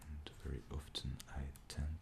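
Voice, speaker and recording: A man whose first language is Russian, whispering softly in an unhurried ASMR show-and-tell, pausing often with fillers. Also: rumbling low, level tone, creaky, background noise. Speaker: ASMR creator.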